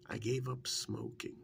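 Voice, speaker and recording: A man playing an easygoing, wryly fond long-term partner in an ASMR role-play, speaking softly and breathily in a halting, musing way. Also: mid-range voice, slight background noise, exaggerated voice. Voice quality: soft, breathy, gravelly, half-whispered. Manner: halting, unhurried, musing with many pauses.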